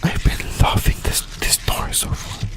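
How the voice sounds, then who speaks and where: A host whispering an ASMR discussion in a breathy, unvoiced voice, speaking in halting short phrases and repeating words. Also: fairly bright tone. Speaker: host of an anime discussion channel.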